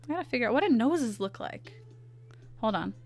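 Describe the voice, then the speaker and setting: A woman with a stuffy nose, chatting casually with her viewers in quick asides while she draws on stream. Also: a little high, animated, soft background music. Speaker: art streamer. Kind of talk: casual chat while drawing.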